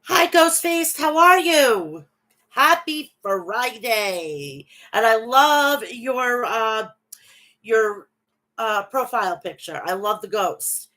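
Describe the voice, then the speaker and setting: A woman's voice: a little high, animated, slow, sharp tone. A woman hosting a casual wrestling recap, talking through a stuffy nose from a bad cold, reading match results and chatting with viewers. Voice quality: nasal.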